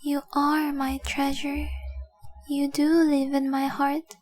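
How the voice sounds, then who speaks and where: A woman playing a devoted young dragon-blooded fiancée in a romantic audio roleplay, speaking softly, earnestly and adoringly. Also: sits high, monotone, slow, soft background music. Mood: tender, heartfelt. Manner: soft, earnest, adoring, often dropping to breathy whispers.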